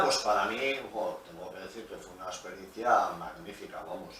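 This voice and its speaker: A middle-aged man with a slightly low voice recounts his life as a musician in a relaxed, storytelling manner. His phrases tend to trail off quietly at the end. He sounds distant from the mic in a very echoey room with faint background noise. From Pamplona, Spain. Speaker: guest musician. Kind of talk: relaxed interview answers.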